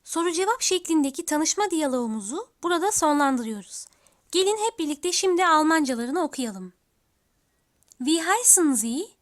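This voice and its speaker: A woman teaching a language lesson, reading each phrase slowly twice and then giving its translation. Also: sits high. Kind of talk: slow, repeated language drill.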